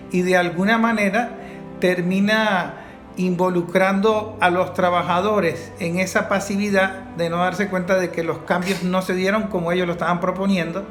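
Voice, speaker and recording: A man with a Venezuelan accent summing up a discussion in a measured, orderly way. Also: sits high. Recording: echoey room, faint music.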